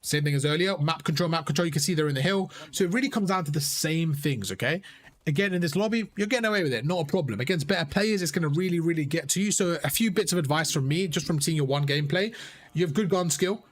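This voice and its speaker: A man's voice: fairly high pitch, quick pace. A young man coaching players and chatting with his live-stream audience in a casual, repetitive way, his voice strained from talking. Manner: chatty, repeats phrases, addresses chat as bro.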